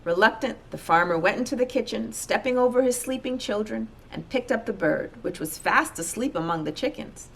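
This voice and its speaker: A woman reading a children's storybook aloud as an expressive narrator. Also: on the high side, fairly quick, vocal fry, hardly any pauses, slightly rough. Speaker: storybook reader.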